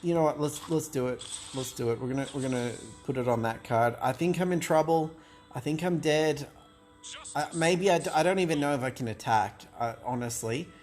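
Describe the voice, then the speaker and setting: A man's voice: on the high side, brisk, a little mellow, faint music behind. A man commentating his own card game match, thinking aloud in a hesitant, self-doubting way, with many restarts and fillers. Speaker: gameplay commentator.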